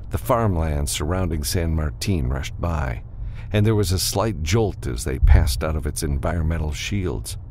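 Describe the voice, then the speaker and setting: A man's voice: low-pitched, darkish tone, a little hiss or hum. A man reading a science fiction novel as scripted audiobook narration.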